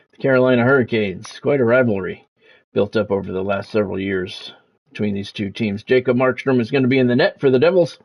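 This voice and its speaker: A man hosting a game livestream, talking sports to his viewers in measured, announcer-like phrases. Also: medium pitch, a little mellow. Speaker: game streamer and sports commentator, former radio play-by-play announcer. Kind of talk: livestream monologue, sports talk to chat viewers.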